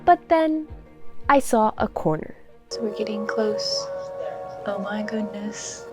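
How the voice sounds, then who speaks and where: A woman's voice: medium pitch, expressive, takes it slowly. Female vlogger, enthusiastic seamstress type, exclamatory delivery, light music underneath. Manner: gushing, exclamatory. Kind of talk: excited vlog narration.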